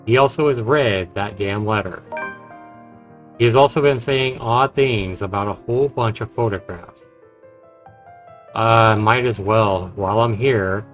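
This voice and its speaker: Male streamer, lowish voice, reads visual novel text with casual asides, faint music underneath. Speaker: game streamer. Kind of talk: reading visual novel narration aloud with casual commentary. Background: game music.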